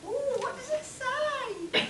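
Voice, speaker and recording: A woman playing an excitable, folksy male sidekick in a spoof radio adventure play. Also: very high voice, slow pace, over a noisy crowd, exaggerated voice. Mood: amazed, curious. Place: convention stage before an audience. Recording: roomy, distant, very noisy live convention hall.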